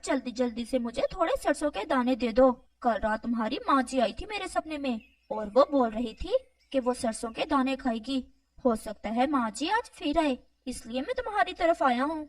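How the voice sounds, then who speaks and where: A woman plays a sly male crow from a children's cartoon. The voice is high and wheedling, full of greedy pleading. It sounds dry, yet set a little back from the mic. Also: expressive, normal speed, no room sound, distant mic. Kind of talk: animated children's moral story dialogue.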